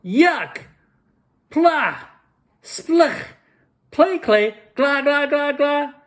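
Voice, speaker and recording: An excitable storybook dad, acted out by a male reader, pitched well up high. He exclaims in a big, exaggerated way and splutters his sounds out like a cartoonish grown-up. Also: extremely slow, heavily acted. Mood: disgusted. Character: excitable father gagging on a disgusting cookie.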